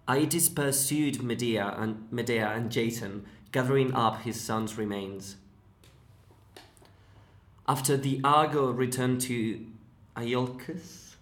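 A man with a Spanish accent reads aloud in unhurried, measured phrases. His voice sits at an ordinary middle pitch. The sound carries a roomy echo. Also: fairly bright tone. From Spain. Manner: deliberate, with occasional restarts on words. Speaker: English learner reading aloud. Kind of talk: careful read-aloud narration.

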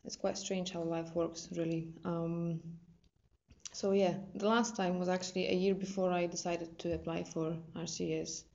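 A woman's voice: on the low side, flat delivery, normal speed, creaky, dark tone, highs cut off. Hesitant Polish-accented woman in her twenties. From Poland. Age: 20s. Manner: hesitant, many ums and restarts. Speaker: drama student. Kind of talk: casual personal talk.

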